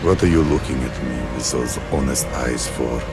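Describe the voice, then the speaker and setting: An actor playing a guilt-ridden Russian leader in a video game, brooding and breaking into anguished outbursts. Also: male voice, rumbling low, moderate pace. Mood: bitter. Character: guilt-ridden male Russian leader confessing betrayal, tormented. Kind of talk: video game dramatic acting. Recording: loud music over the voice.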